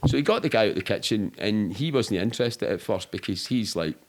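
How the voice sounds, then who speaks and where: A man's voice: lowish voice, lively intonation, fairly quick. A middle-aged Scottish man telling anecdotes casually in a colloquial, rambling, sweary way, as an interview guest.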